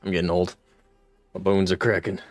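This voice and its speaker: A man's voice: low-pitched, level tone, brisk, no room sound, faint music behind. A man in his twenties streaming a game live, chatting casually with his chat in a rambling, self-deprecating way and laughing often. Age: 20s.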